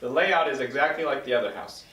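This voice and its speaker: A man's voice: medium pitch, fast talker, sharp tone. Roomy male script narrator. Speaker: stage direction reader. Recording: echoey room, distant mic.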